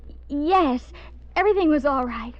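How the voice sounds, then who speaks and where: An actress playing a frightened but quick-witted woman held at gunpoint, breathy and husky, in 1950s radio drama style. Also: sits high, lively intonation. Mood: nervous, covering up. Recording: muffled old radio recording.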